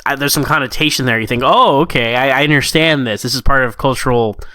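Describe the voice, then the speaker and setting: Male podcast co-host, crisp and bright, close dry sound. Conversational critic who acts out thoughts. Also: medium pitch, quick pace, dry recording. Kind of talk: casual, conversational story critique.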